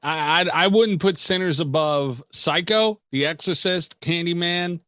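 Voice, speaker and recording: A man's voice: a little high, a little slow, a bit bright, no room sound. Opinionated man in dry-sounding casual chat. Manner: opinionated, slangy, profane. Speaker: podcast co-host.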